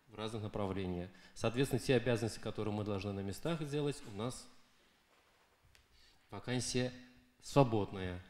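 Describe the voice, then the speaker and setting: A man's voice: mid-range voice, darkish tone. A man responding to a conference talk with rambling, hesitant remarks full of fillers. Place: conference hall. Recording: echoey room.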